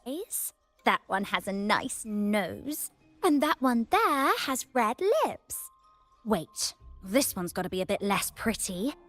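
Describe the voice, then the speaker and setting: A woman's voice: mid-range voice, lively intonation, slow, fairly bright tone, no echo. An actress playing a vain, theatrical young woman who savors her words in a video game. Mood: musing, picky. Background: game music.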